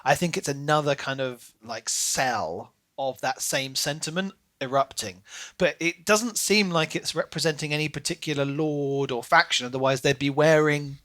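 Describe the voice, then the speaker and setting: A man's voice: a little high, normal speed, a bit bright. A man co-hosting a podcast in casual discussion, tentative and thinking aloud as he talks. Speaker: book podcast co-host.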